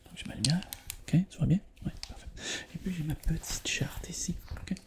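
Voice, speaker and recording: A man with a Quebec French accent doing a soft, hushed, reassuring ASMR roleplay as an examiner. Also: a little high, moderate pace.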